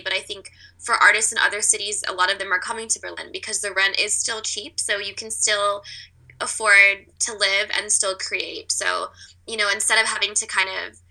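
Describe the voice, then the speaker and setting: Chatty American woman, very bright, creaky voice. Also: mid-range voice, low-quality recording. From Virginia, United States. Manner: chatty, laughs easily. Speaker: interview guest, artist living abroad. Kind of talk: casual interview chat.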